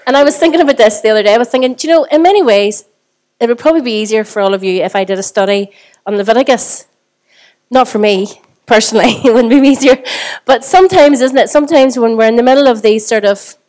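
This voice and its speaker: A woman pastor with a Northern Irish accent, preaching a sermon to her congregation in a chatty way, hoarse from a cold. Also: fairly high pitch, darkish tone. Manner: chatty, asks the congregation rhetorical questions. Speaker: lead pastor. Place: church service.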